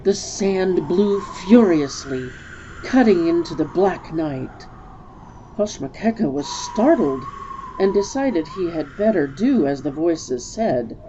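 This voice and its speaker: A woman's voice: lowish voice, animated, slow, mellow, highs cut off, a little hiss or hum. A woman storyteller giving a dramatic reading of a story.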